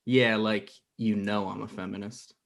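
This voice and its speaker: A man's voice: fairly low pitch, flat delivery, normal speed, gravelly. A young man playing a dry, defensive thirty-year-old boyfriend in a comedy table read on a video call. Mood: earnest. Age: young adult. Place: indoor room on a video call.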